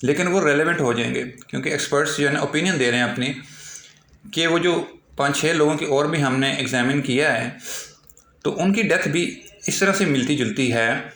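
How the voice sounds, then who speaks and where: A man's voice: a little high, fairly bright tone. Pakistani man lecturing in an echoey room. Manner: slow, halting when reading English aloud. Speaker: law lecturer. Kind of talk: explanatory lecture, reading out articles then explaining.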